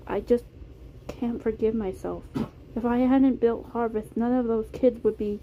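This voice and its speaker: A woman voicing a remorseful, guilt-ridden man who speaks haltingly and apologetically in a story reading. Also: a little high, steady tone. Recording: tinny phone-like audio, clear noise.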